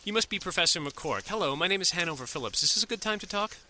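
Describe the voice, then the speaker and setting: Man acting a polite, bright-voiced modern knight. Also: sits high, expressive, quick pace, slight background noise, full character voice. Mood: polite, hesitant. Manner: apologetic, conversational, dry. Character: polite, casual modern male knight investigating for a corporation. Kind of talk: audio drama acting.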